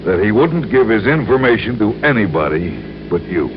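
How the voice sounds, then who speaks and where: An actor playing an earnest male insurance man who explains things deliberately and carefully in an old radio crime drama. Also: lowish voice, very animated. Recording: muffled, noisy old radio recording.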